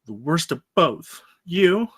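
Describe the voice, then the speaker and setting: An actress playing an earnest, exasperated young campus guard in a comedy table read. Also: female voice, lowish voice, sing-song, slow, husky, full character voice.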